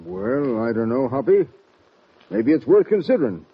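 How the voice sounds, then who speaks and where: Husky, folksy Western sheriff. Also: male voice, medium pitch, sing-song, normal speed, exaggerated voice. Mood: folksy, cooperative. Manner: rustic drawling cowboy talk. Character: folksy, agreeable small-town Western sheriff. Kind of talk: old-time Western radio drama acting. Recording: tinny, narrow vintage radio recording.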